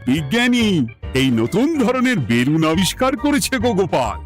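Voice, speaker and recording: Jovial, high-voiced cartoon king. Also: big pitch swings, moderate pace, soft background music. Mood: delighted, chiding. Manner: grand, indulgent. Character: jovial, pleasure-loving, generous king. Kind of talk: comic cartoon acting.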